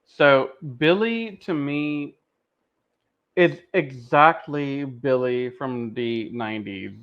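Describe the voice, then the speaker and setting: Slightly muffled man making deliberate, emphatic points. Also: medium pitch, smooth. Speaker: podcast co-host. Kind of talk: casual fan review discussion. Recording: slightly dull, lo-fi microphone sound.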